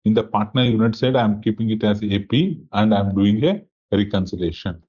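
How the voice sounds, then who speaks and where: Guy with low husky voice doing a software tutorial, talks thru each step, kinda dull audio. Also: normal speed. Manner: thinks aloud, asks himself questions and checks understanding. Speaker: software trainer.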